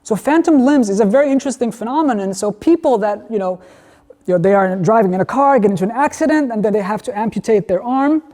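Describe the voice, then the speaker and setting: Mellow-voiced man lecturing in a hall. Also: sits high, expressive, fast talker. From Denmark. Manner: conversational, asks the audience questions. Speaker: guest lecturer. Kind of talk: university lecture.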